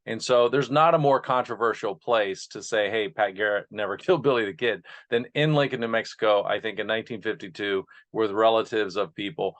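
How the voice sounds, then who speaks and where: A man's voice: mid-range voice, a bit bright. A man speaking earnestly as host and narrator, presenting material to his listeners. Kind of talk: informal introduction, then reading a speech aloud.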